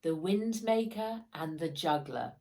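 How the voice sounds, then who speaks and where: A woman's voice: lowish voice, expressive, slow, gravelly, a little mellow, heavily acted. A woman voicing a kindly, proud old grandfather in a children's storybook, with a hearty, folksy manner. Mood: proud, pleased.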